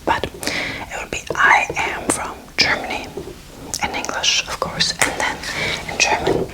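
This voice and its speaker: A woman's voice: unhurried, low-quality recording. A woman in her twenties giving an ASMR language lesson in a whisper, casual, playful and encouraging as she teaches. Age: 20s. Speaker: host teaching beginner German.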